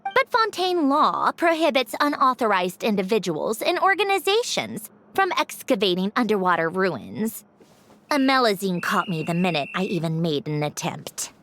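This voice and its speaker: A woman's voice: medium pitch, big pitch swings, normal speed, fairly bright tone. A woman playing a proud, sardonic young villainess in a video game, with a dry, condescending, theatrical delivery. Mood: scornful, smug. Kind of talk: video game character dialogue.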